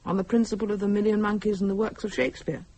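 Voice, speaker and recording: A woman acting in a radio drama as an uneasy, sharp-witted wife, earnest and questioning. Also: mid-range voice, steady tone, racing, close to an everyday voice. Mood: reasoning.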